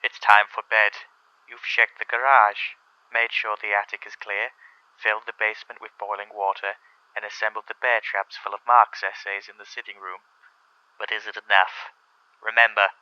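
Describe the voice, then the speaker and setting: A forceful, lowish-pitched British presenter from 1950s radio, acted by a man, earnest and pompous. He delivers formal public warnings with zeal. The sound is dull and close, like an old wireless. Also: clear, resonant tone, dry recording. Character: zealous, prim 1950s British anti-communist radio presenter.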